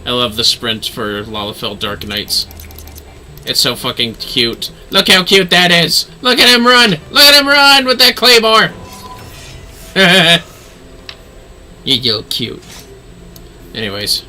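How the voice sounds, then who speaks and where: A man's voice: a little high, lively intonation, takes it slowly, pauses often, pushed and bright, a little hiss or hum. A man giving casual, chatty livestream commentary on a game he is playing, gushing and swearing freely. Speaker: game streamer.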